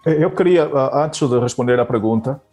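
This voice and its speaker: A man's voice: mid-range voice, moderate pace, a little mellow, soft background music. Hesitant man from Angola, teacher guest. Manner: hesitant, many fillers and restarts. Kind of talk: interview answers.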